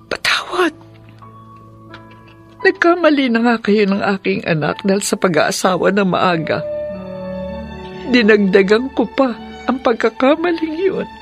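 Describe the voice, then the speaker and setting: Radio drama older mother-in-law: Filipino, contrite, very expressive intonation, halting delivery, soft music behind. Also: a little high. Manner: halting, pleading, emotional. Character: remorseful older mother-in-law pleading for forgiveness.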